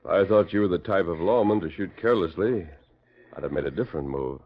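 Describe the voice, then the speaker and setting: An American man acting a calm, authoritative masked cowboy hero in a 1940s radio western. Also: deep voice, fast talker. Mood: calm, assured. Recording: muffled vintage 1940s radio recording.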